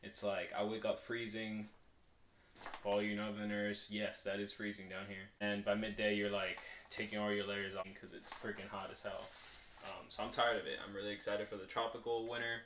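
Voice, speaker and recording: Low-pitched young man vlogging casually. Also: fairly bright tone, slight background noise, rough recording. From Puerto Rico. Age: 20s. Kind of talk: casual vlog chat and product review.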